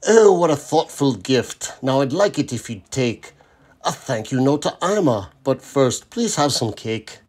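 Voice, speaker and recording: A man's voice: medium pitch, sing-song, a little slow, a little mellow, husky. A man voicing a delighted, gracious female bird from a children's storybook. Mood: delighted, grateful.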